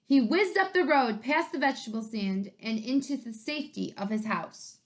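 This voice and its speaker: A woman co-reading a children's story, narrating in an expressive, measured way. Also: on the high side. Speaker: co-reader of the story.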